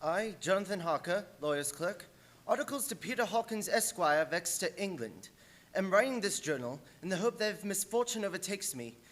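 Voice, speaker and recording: A man's voice: high voice, expressive, a little fast, fairly bright tone. A man playing an earnest law clerk reading his journal aloud formally in a radio drama. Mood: earnest, foreboding.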